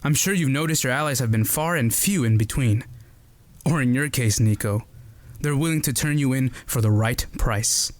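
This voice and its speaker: Smug male mercenary captor, taunting and mocking, played by a man; mid-pitched, audio drama. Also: moderate pace, close to an everyday voice.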